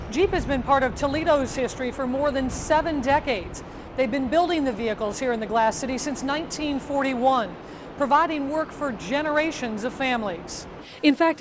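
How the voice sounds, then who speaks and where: Higher-pitched American woman reporting TV news. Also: background noise. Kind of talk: scripted TV news narration and live report.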